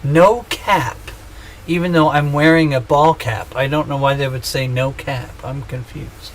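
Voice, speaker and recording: A man's voice: on the high side, unhurried, a little hiss or hum. A man in his thirties chatting casually on a gaming livestream, joking and rambling with self-mocking humor. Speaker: streamer.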